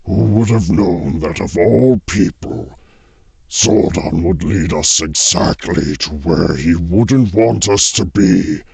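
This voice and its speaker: A man voicing a villainous monster henchman in an audio drama, with a raspy growl and a theatrical, gloating manner. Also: low voice, a little mellow, heavily acted. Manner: drawn-out, theatrical delivery with villainous laughs.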